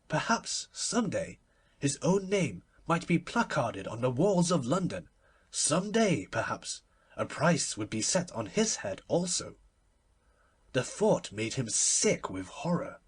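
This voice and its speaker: A man reading a literary story aloud as an audiobook narrator, in an expressive and measured storytelling manner. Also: mid-range voice, slightly rough.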